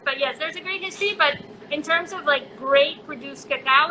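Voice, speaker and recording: A woman's voice: high voice, noisy background. A woman who grew up in Colombia, a chocolate maker chatting in an online interview, talking casually with many fillers. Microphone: phone on a live video chat.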